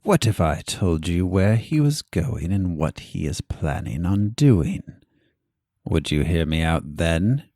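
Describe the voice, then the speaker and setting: An actor playing a scheming doctor in an audio drama, formal, deliberate and wry as he bargains. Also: sits low, very animated, warm tone, no echo, studio quality, heavily acted. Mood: smooth scheming. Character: scheming, duplicitous doctor, adult man, bargaining smoothly.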